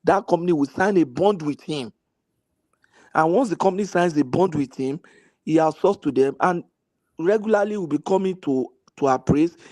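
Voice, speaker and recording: A man's voice: fairly high pitch, normal speed, a little mellow, dry recording. A man in a heated group discussion, explaining a point at length and pushing to finish it.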